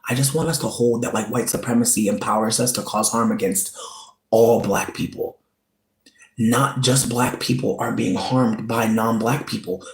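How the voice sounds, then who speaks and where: A man's voice: mid-range voice, steady tone, normal speed. Earnest man talking in an echoey room. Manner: earnest, repetitive, pleading. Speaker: channel host. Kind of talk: direct-to-camera commentary.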